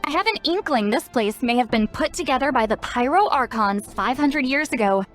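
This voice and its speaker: A woman's voice: sits high, animated, moderate pace. A woman voicing a spry, youthful-sounding self-styled granny in a video game, playful, knowing and caring. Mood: concerned, thoughtful. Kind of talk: scripted video game dialogue. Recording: game music behind. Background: game music.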